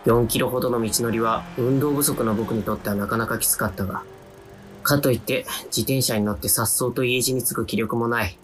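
A man's voice: medium pitch, steady tone, fairly quick, a bit bright, faint music behind, close to an everyday voice. An actor playing a timid young man who narrates his own radio drama with wry, self-deprecating asides. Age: young adult. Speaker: narrator-protagonist. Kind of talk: radio drama inner monologue.

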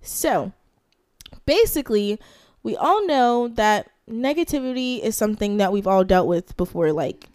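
A woman's voice: mid-range voice, expressive, a little slow, darkish tone, no room sound, studio quality. A young woman co-hosting a casual podcast chat, talking through a head cold and laughing often. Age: young adult. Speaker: podcast co-host.

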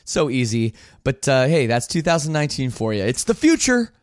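A man's voice: mid-range voice, sing-song, fairly quick, no echo, clean studio sound. Upbeat man hosting a podcast. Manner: upbeat, rambling, restarts a lot. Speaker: podcast host. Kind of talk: casual podcast chat.

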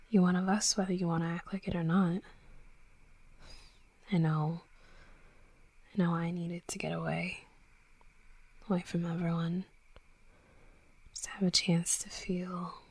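A woman's voice: lowish voice, darkish tone, breathy edge, dry recording, exaggerated voice. A woman voicing a tough young female werewolf bully in an intimate roleplay audio drama, brash and sweary but grudgingly tender.

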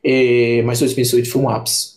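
A man's voice: medium pitch, monotone, a little fast. A young man, chatting casually as a podcast guest, rambling with many restarts and fillers. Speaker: book channel creator, podcast guest.

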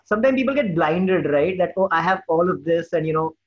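A man's voice: fairly high pitch, expressive, a little fast. A man hosting a casual interview, affirming and effusive toward his guests, on muffled audio. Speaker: podcast host.

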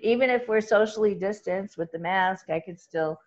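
A talkative woman with a loud voice, chatting as an interview guest and laughing often as she talks. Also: medium pitch, normal speed, dull audio, a little hiss or hum. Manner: chatty and laughing, with many restarts. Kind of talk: casual conversational interview.

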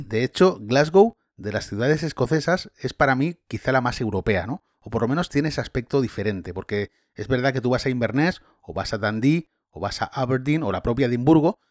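A man hosting a podcast conversation, relaxed and chatty, often adding tag questions. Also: mid-range voice, no echo, studio quality. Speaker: podcast host and tour guide. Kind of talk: casual conversation.

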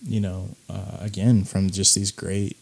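A man hosting a podcast, talking casually about clips in a raspy voice, rambling with plenty of fillers. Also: sits low, flat delivery, a little slow, dark tone. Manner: laid-back, rambling with many fillers. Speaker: podcast host.

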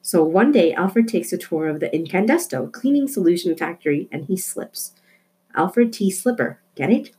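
Woman voicing an earnest, excitable storybook girl. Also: on the low side, moderate pace, slightly rough. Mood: earnest explaining. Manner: emphatic, explaining eagerly. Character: earnest, excitable young girl, self-declared cynic. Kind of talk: storybook character voice.

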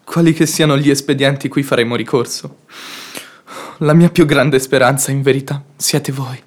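An actor playing a proud, wounded young gentleman in love in a classic radio comedy, theatrical, indignant and impetuous. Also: medium pitch, fairly even intonation, normal speed, natural voice. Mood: tender.

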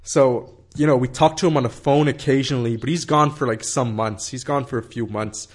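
A man's voice: medium pitch, a little fast. A man in his thirties telling a long story in casual conversation, rambling with many fillers, swearing and laughing.

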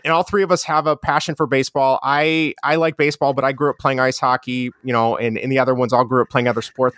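A man with a strong Chicago accent, talking as a guest in a relaxed, rambling way with many restarts. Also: medium pitch, no room sound, clean studio sound. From Chicago area. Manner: mellow, rambling with frequent restarts. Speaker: guest, educator and podcaster. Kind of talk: casual conversational interview.

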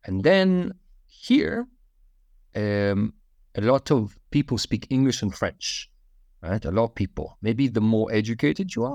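A man, a podcast guest, answering interview questions in a relaxed, storytelling way with frequent fillers. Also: lowish voice, dry recording, clean studio sound.

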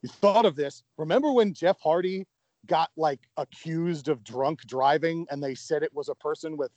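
A man with a fairly high voice talks with enthusiasm, stumbling over repeated words as he gets going. The sound is dry and close to the mic. He keeps it casual and conversational. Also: normal speed, a little mellow, no echo, lo-fi sound. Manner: enthusiastic, stammering restarts. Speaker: podcast co-host. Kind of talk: casual wrestling chat.

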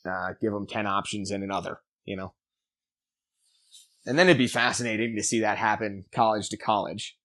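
A man's voice: lowish voice, normal speed, fairly bright tone. A young man hosting a casual podcast, talking animatedly and swearing freely. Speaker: podcast host. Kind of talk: casual chat.

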